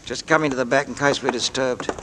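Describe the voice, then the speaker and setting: A man's voice: mid-range voice, steady tone, fast, bright, forceful tone, slight background noise, close to an everyday voice. A man acting a methodical chemist in an old radio drama, clipped and confident. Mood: urgent. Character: brisk methodical English chemist friend.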